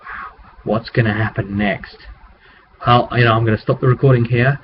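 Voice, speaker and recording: A man's voice: medium pitch, steady tone, normal speed. Muffled webcam recording of a rambling man. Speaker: game master. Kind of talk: casual vlog recap of tabletop game. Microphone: webcam microphone. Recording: muffled webcam mic with background noise.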